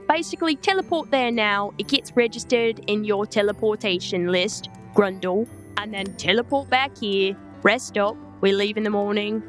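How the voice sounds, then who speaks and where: A woman narrating and playing in a tabletop roleplay, talking haltingly with many fillers. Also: medium pitch. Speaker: game master.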